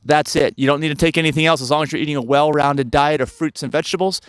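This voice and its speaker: A man's voice: on the high side, fairly even intonation, fast, clear, resonant tone, no room sound, clean studio sound. A man in his fifties talking casually to viewers outdoors in the woods, rambling and often turning to them with questions. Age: 50s. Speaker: health channel host. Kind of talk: casual talk to camera.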